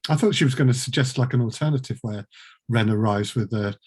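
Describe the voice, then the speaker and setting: A man's voice: mid-range voice, quick pace, darkish tone, breathy edge. A man hosting a casual interview, informal and jokey in the way he talks. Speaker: host and producer.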